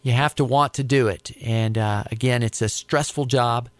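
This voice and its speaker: A man's voice: mid-range voice, steady tone, normal speed, no echo. A middle-aged man with an American accent explaining his career in an informal interview, conversational, often repeating words as he thinks. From United States. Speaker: interviewee, air traffic controller.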